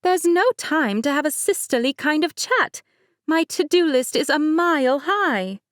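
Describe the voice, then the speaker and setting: A woman's voice: sits high, expressive, unhurried, no room sound, clean studio sound, pitched above the performer's own voice. A woman voicing a bubbly, well-off young bride who teases and gushes. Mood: teasing. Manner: gushing, teasing, bright.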